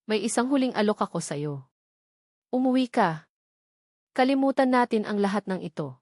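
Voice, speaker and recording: A woman's voice: medium pitch, lively intonation, normal speed, a little mellow, no room sound, clean studio sound, close to an everyday voice. A woman with a Filipino accent voicing a cold, stern, commanding wealthy father in an audiobook drama.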